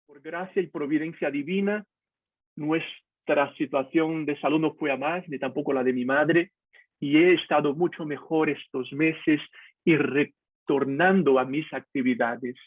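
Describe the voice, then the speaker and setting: A man's voice: on the high side, fairly even intonation, measured pace, no echo. A man from Brazil, a pastor, speaking candidly and directly to his listeners in a personal devotional talk. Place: home, video call. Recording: tinny phone-like online call audio.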